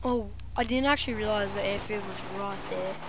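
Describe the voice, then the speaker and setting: A woman's voice: medium pitch, fairly quick. A young woman casually commenting on her driving game for her chat, playfully trying out a New Zealand accent. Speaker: gamer streaming to chat. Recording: game car engine noise behind.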